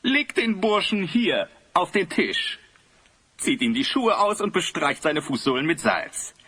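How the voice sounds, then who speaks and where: Cruel vain monarch, higher-pitched, husky, sweeping intonation, pompous, audio drama. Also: male voice, very animated, a little slow, bright, forceful tone, heavily acted. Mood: menacing. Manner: pompous, theatrical. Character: vain cruel male ruler, obsessed with beauty. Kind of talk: German audio drama acting.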